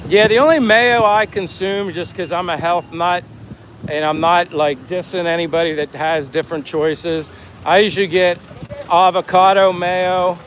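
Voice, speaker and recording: A man's voice: high voice, fairly even intonation, normal speed, a bit bright, low-quality recording. A man chatting casually on a walking livestream, reading out viewer comments and thanking people in a friendly, effusive way. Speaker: livestream host. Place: outdoors on the move, near traffic. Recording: clear outdoor traffic and engine noise.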